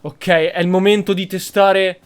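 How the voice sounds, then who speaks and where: A man's voice: high-pitched, little pitch movement, normal speed, a little hiss or hum. An Italian man doing gameplay commentary, partly speaking in character as he plays. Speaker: gamer roleplaying while playing. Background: loud game music.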